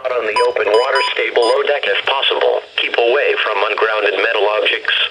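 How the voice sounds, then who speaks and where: A man's voice: on the low side, fairly even intonation, brisk. A synthetic-sounding man reads a scripted weather warning formally, as an automated radio announcer. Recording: noisy weather radio broadcast.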